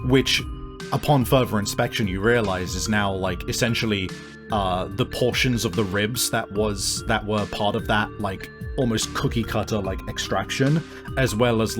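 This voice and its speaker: A man improvising narration as a tabletop game master, explaining things in a halting, wordy way. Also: fairly low pitch.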